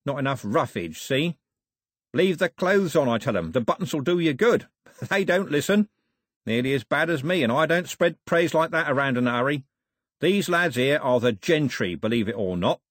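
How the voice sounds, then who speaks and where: Male narrator as villainous sailor, mock-jolly, boastful, jeering, animated pitch, storybook. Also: moderate pace, no echo, studio quality. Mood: theatrical, jovial. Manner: theatrical, jeering, colloquial patter. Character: swaggering villainous sailor, mockingly cheerful murderer turned king.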